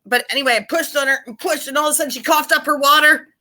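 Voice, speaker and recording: A woman's voice: high voice, fairly even intonation, racing, pushed and bright. A woman improvising a silly story with a friend, playful and rambling.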